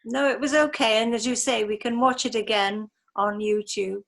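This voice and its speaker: A woman taking part in an online class, with a croaky voice and short friendly replies. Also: fairly high pitch, steady tone, normal speed, a bit bright. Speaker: class participant. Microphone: video call.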